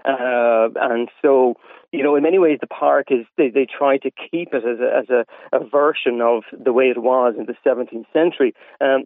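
An Irish man talks in a measured, hesitant way, with many fillers, as a guest on a radio show. His voice comes down a tinny phone line, narrow and lo-fi. The sound is dry, with no room around it. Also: medium pitch, no echo. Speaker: wildlife campaigner, radio guest.

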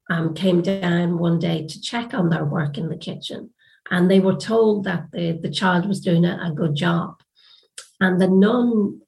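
A woman with an Irish accent speaks in a low voice with noticeable vocal fry. She sounds reflective and deliberate, like a researcher giving measured testimony for a documentary interview. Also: darkish tone, rough recording. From Ireland.

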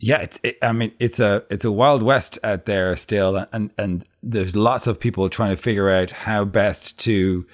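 A man's voice: fairly low pitch, steady tone, measured pace, few pauses. A man hosting a radio interview and asking thoughtful questions in a conversational way. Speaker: radio host.

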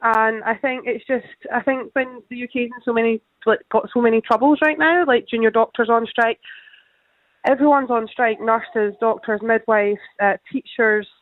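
A woman's voice: fairly high pitch, steady tone, moderate pace, no echo. A woman with a British accent, a mother from the UK, calling in to a radio phone-in and giving her view firmly. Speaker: caller, mother of two. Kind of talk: phone-in opinion. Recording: phone line.

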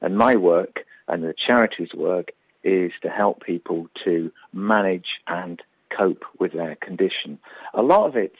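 A man's voice: low-pitched, animated, measured pace, husky, no room sound. A man, a guest explaining his work in a radio interview. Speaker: pain charity representative, interview guest. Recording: thin, band-limited phone-like line.

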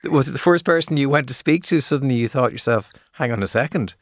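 A man's voice: medium pitch, fast, no room sound, studio quality. A man hosting a radio talk show, friendly and prone to restarting his questions. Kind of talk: radio interview.

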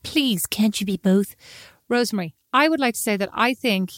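A woman's voice: mid-range voice, moderate pace, dry recording, studio quality. Playful woman with a slight lisp. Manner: playful, mock-indignant, laughs often. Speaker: podcast co-host. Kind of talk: casual chat.

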